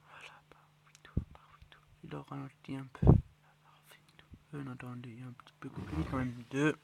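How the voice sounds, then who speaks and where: A man with a cold giving rambling, excitable commentary on his mobile game matches and chest openings. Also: fairly high pitch, vocal fry. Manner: rambling, excitable, self-correcting, drops to mutters. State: has a cold. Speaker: mobile game channel host. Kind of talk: casual gameplay commentary.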